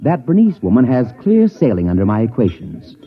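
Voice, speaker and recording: An actor playing a self-assured, pedantic professor with a persuasive manner in a 1950s radio drama. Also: male voice, medium pitch, huge pitch swings, a little fast. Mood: confident. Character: smooth-talking, self-assured male professor with schemes. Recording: tinny vintage radio broadcast.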